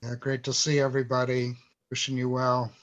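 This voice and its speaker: A man with a medium-pitched voice takes part in a group video call. He speaks in a casual, conversational style. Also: completely flat delivery, a little fast, a bit bright. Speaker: event participant. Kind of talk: casual chat.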